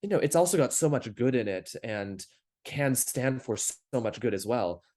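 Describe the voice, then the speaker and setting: A man's voice: medium pitch, animated, moderate pace, dark tone. A young man who grew up in Paris and speaks with an American accent, a startup founder answering interview questions in long, reflective answers. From France, grew up in Paris. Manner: articulate and reflective, speaks in long flowing thoughts. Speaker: podcast guest, startup founder. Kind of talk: casual conversational interview.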